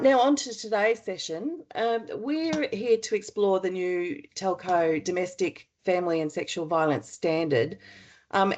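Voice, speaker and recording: An Australian woman with a husky head-cold voice, chairing a webinar in a chatty way with many ums and uhs. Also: fairly low pitch, darkish tone. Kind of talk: webinar opening remarks. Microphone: computer mic on a video call.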